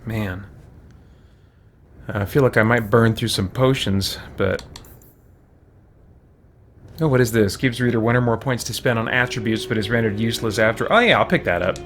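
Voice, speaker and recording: A man's voice: on the low side, moderate pace, long pauses, slight background noise. A man giving casual live commentary as he plays a game, musing aloud in slangy language. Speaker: gaming streamer. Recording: loud game music behind the voice. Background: loud video game music.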